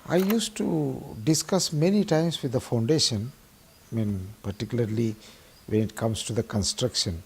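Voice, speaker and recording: A man with an Indian accent, speaking in a halting, deliberate way as he reflects in an interview. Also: mid-range voice, vocal fry, a little hiss or hum. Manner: halting, deliberate, pausing mid-sentence. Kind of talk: documentary interview, reflective recollection.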